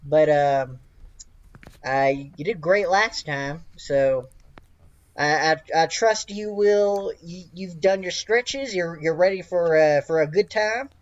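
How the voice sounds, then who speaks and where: A man voicing a muscular, roguish halfling ringleader who speaks in a halting, good-natured way. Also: a little high, no echo, lo-fi sound. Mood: encouraging.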